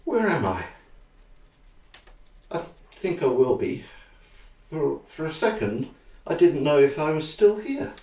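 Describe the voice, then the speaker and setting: An actor playing a dazed, uncertain dreamer, speaking in a halting, hushed way, recorded in an echoey space. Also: mid-range voice, very animated. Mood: dazed, mysterious. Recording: echoey, distant from the mic.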